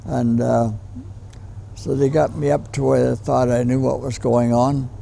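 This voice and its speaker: A man of one hundred with a western Canadian accent reminisces in an interview. His voice is heavily creaky and soft-edged in tone, mellow rather than bright. He speaks plainly and haltingly, with slight noise around him. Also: mid-range voice, flat delivery. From Calgary, western Canada. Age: 100. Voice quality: gravelly, creaky. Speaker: war veteran interviewee.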